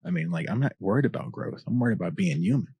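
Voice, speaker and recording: A man with a deep voice, a rapper talking as a podcast guest, passionate, swearing often and rambling in long answers. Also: lively intonation, fast talker, warm tone, slightly rough, dry recording. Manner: passionate, profane, long-winded. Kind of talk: casual candid chat.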